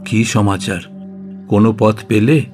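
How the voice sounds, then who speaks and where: A man playing a concerned, fatherly elder Buddhist teacher in an audio drama, speaking gravely and formally. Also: on the low side, fairly even intonation, measured pace, darkish tone, natural voice. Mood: anxious. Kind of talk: Bengali audio story acting. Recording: dramatic music behind the voice.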